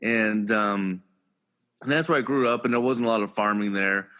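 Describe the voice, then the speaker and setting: Bright-voiced farmer guest. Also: male voice, lowish voice, moderate pace. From Houston, Texas. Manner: easygoing storyteller, some fillers. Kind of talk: casual interview chat.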